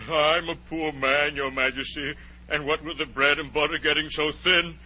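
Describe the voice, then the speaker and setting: Meek, bright-voiced nervous hatter. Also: male voice, a little high, steady tone. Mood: nervous, pleading. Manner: halting, pleading, repeats himself. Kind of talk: 1940s radio play acting. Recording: dull, muffled 1940s radio recording.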